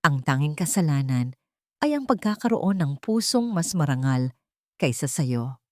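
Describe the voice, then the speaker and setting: A woman voicing a resolute, bitter grown son who speaks with quiet finality in an audio story. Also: low voice, sing-song, measured pace, no room sound, clean studio sound. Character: bitter, resolute adult son confronting his mother.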